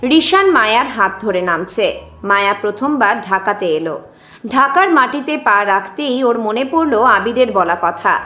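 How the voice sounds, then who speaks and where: Echoey, higher-pitched female story narrator. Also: animated, measured pace, pushed and bright. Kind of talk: scripted dramatic story narration. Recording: roomy echo with music underneath.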